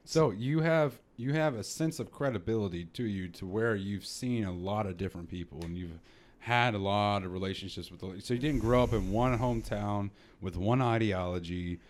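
A man hosting a casual podcast, talking in a loose, rambling way with frequent restarts. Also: on the low side. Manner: rambling, laughs often, many restarts. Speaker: podcast host.

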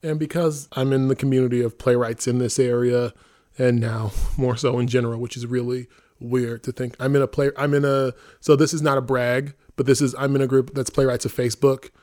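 A man's voice: mid-range voice, little pitch movement, brisk, darkish tone. A man with an American accent chatting on a podcast as a theatre artistic director, in an informal, self-deprecating, rambling way. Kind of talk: casual conversational podcast talk.

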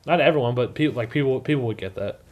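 A young man co-hosting a comedy podcast, teasing his friends in casual banter. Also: medium pitch. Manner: teasing, quick, jokey. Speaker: podcast co-host.

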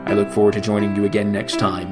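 A man teaching how to chant scripture, explaining slowly and breaking into chanted phrases. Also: fairly low pitch, level tone, over music. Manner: slow, measured explanation between chanted phrases. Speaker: cantor teaching Torah chanting.

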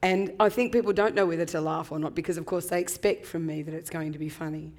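A woman in her fifties with a husky voice from laryngitis, reflecting thoughtfully as an interview guest with many ums. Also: deep voice, steady tone, fast, a little mellow. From Australia. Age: 50s. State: hoarse from laryngitis. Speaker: guest author. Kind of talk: conversational interview.

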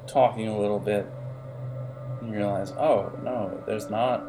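A man improvising the narration of a tabletop adventure as game master, in a halting, conversational way. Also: lowish voice, steady tone, over music, rough recording.

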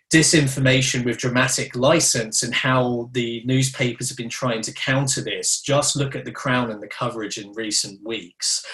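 A man from the UK with a mid-pitched voice, lecturing fluently as a panelist. He wanders into asides as he talks. His voice rings in an echoey room. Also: a bit bright. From United Kingdom. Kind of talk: panel talk.

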